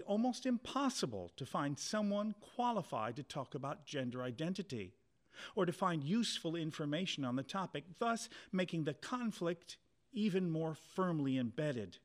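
A man with a fairly high voice reads a documentary passage in an earnest, measured way. His pitch moves freely up and down, giving the reading an animated lilt. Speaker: reader. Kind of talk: scripted documentary narration.

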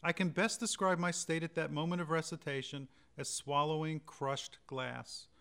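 Male memoir reader, higher pitch, crisp tone, quiet and reflective. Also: fairly even intonation, normal speed. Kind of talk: first-person memoir reading.

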